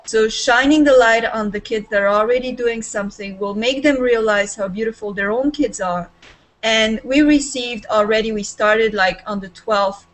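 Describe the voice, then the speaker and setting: A woman's voice: a little high, normal speed, poor audio. A woman in her forties with a Serbian accent, talking earnestly and enthusiastically as a panelist on a video call from a small room at home. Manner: enthusiastic, gestures with both hands. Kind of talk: earnest spontaneous talk. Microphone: webcam on a video call.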